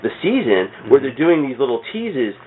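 A man's voice: medium pitch, big pitch swings, moderate pace, phone-quality sound. A man talking in a casual, riffing podcast chat, acting out imagined thoughts as he goes. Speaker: podcast guest. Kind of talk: casual comic-book chat.

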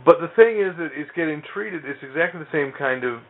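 A man's voice: a little high, animated, fast talker, narrow, tinny audio. A man hosting a podcast in a casual, conversational style. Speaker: podcast host. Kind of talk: casual comic-book chat.

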